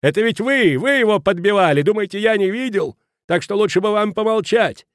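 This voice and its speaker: A man's voice: deep voice, very animated, extremely slow, a little mellow, slightly rough, no echo, studio quality, voice pushed down, full character voice. A man voicing an outraged, preachy school headmaster in an audiobook, scolding with indignant emphasis. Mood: enraged.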